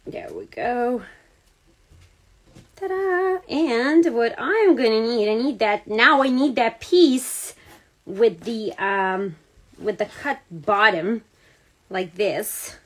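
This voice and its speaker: A woman's voice: fairly high pitch, takes it slowly. A woman from Serbia with a Serbian accent, chatting casually to viewers as she hosts a card-making livestream, greeting people by name and using many fillers. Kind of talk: casual crafting livestream chat. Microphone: phone or camera on a livestream.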